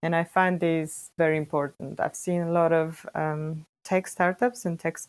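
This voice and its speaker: Woman, thirties, from Bulgaria; startup founder as podcast guest, rambling and animated, dry close sound. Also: sits low, moderate pace, clean tone, dry recording. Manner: talks in long, animated run-on sentences with frequent restarts. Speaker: guest, freelance data scientist and startup co-founder. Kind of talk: casual interview answers.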